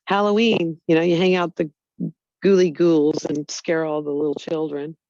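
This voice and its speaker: A woman's voice: low voice, level tone, normal speed, darkish tone, no echo, muffled recording. A woman talking earnestly in a casual group chat, rambling with lots of fillers. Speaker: Bible study group member.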